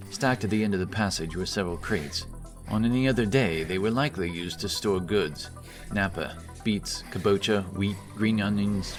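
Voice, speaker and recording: A man narrating a fantasy battle story in a dramatic, scripted storytelling style. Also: low-pitched. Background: cinematic action music.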